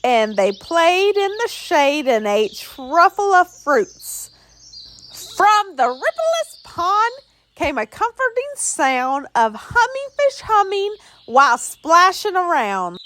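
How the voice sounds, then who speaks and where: High-pitched woman reading a storybook. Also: sing-song, takes it slowly, a bit bright. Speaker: children's book reader. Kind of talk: expressive storybook read-aloud.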